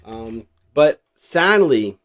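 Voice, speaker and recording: A middle-aged American man with a mid-pitched voice hosts in a casual, unscripted way. He rambles reflectively, thinking out loud as he goes. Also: very animated, very slow, a little hiss or hum. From New Jersey, United States. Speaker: host showing a cassette collection. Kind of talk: casual unscripted vlog chat.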